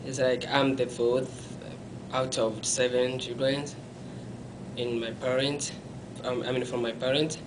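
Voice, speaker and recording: A young man recounts his past in a small indoor room, recorded from a camera a few feet away. His speech is halting, full of restarts and self-corrections, and his pitch stays level. A steady rumble runs under the recording. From Congo. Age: young adult. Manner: halting, self-correcting, non-native phrasing; gestures with his hands while talking. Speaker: interviewee. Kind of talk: personal story in an interview.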